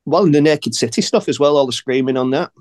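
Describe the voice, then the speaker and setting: A man's voice: a little high, quick pace, darkish tone. A man talking informally as a panellist on a music podcast. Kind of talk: casual chat.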